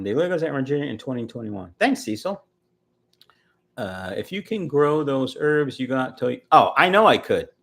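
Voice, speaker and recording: A man in his early fifties hosts a casual livestream, reading viewer comments aloud and answering each in turn. His voice sits in a middle register but swings widely in pitch as he gets animated. He rambles and restarts as he talks. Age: 50s. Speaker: fishkeeping livestream host reading chat. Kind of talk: casual live chat.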